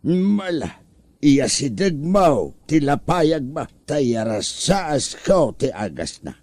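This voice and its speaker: A man's voice: a little high, sing-song, darkish tone, no room sound. A man with an Ilocano accent playing a lazy, whining, hard-drinking husband who feigns illness in a comedy audio drama. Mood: eager. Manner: drawn-out complaining, theatrical moaning.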